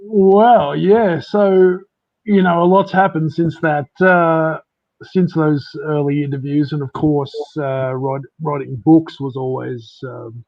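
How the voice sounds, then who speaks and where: A man with an Australian accent, a writer guesting on a podcast, explaining his story in a measured, casual way. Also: a little high, steady tone, gravelly, dry recording. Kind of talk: casual interview. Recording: dry, dull lo-fi internet connection.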